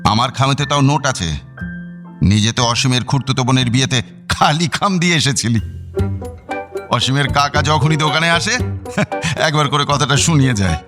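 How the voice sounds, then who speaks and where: A man's voice: medium pitch, normal speed, long pauses, over music, close to an everyday voice. A man acting a stingy, suspicious middle-aged father in a radio drama, grumbling and hesitating as he talks. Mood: indignant.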